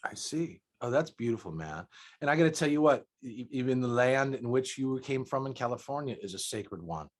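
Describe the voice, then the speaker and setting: A man hosting an interview over a call, full of generous praise, his sentences often sinking softly at the end. His pitch sits in a plain middle range. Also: expressive, a little fast. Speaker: interviewer host. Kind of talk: casual interview.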